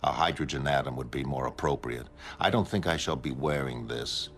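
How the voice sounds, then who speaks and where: A man playing a calm, godlike superhuman who narrates a comic in a measured, solemn, melancholy way. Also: rumbling low, creaky, full character voice. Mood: flat, calm. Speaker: narrator and lead character. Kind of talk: scripted first-person comic narration. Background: prominent music.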